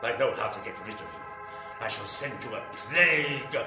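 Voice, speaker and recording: An actor playing a melodramatic, evil and lonely vampire lord in classic horror radio style, grandiose and theatrical. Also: male voice, mid-range voice, over music, natural voice. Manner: hammy, grandiose, slow theatrical declaiming. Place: small live venue with audience. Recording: roomy live stage recording, background noise.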